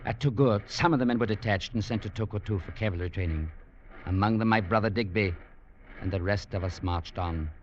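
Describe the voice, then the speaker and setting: An actor playing a weary young legionnaire, gravely and eloquently narrating desert hardship in a 1940s radio drama. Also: male voice, lowish voice, slight background noise, natural voice. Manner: grave, literate storytelling. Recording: muffled vintage radio recording, music behind.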